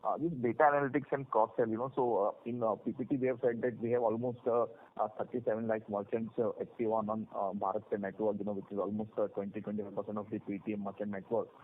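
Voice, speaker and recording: A man with an Indian accent asking analyst questions on a conference call, in halting, filler-heavy phrasing. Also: lowish voice, level tone. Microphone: telephone conference line. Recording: narrow phone line with faint noise.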